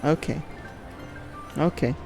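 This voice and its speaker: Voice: takes it slowly, a little mellow. A gaming streamer giving casual, sweary, self-mocking commentary, sometimes muttering. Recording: game music behind the voice.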